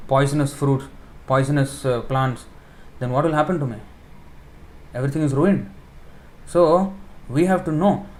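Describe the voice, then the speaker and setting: A man giving a sermon in an informal style, explaining with everyday examples, pausing with fillers and correcting himself as he thinks aloud. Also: a little high. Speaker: preacher giving a scripture class.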